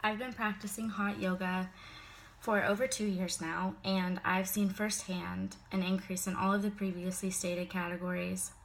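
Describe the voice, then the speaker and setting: A woman's voice: medium pitch, flat delivery, normal speed, vocal fry, slight background noise, poor audio. A young woman giving a prepared class speech, a little sick so her voice sounds slightly off, mostly recited with occasional casual fillers.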